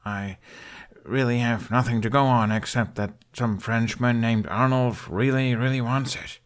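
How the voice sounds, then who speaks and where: A man's voice: mid-range voice, steady tone, a little slow, close to an everyday voice. A man voicing a shy, awkward young hero with a hesitant, sheepish, stammering delivery in an audiobook. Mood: nervous, rambling.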